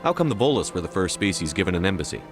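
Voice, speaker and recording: Casting: blunt human male game hero, lowish voice, quick and terse, inquisitive. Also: big pitch swings, soft background music. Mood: curious, direct. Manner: direct, terse questioning. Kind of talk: video game dialogue.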